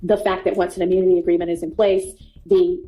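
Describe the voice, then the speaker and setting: Female judge, warm dark tone, quick, probing and firm. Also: lowish voice, flat delivery, a little hiss or hum, rough recording. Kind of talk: courtroom questioning.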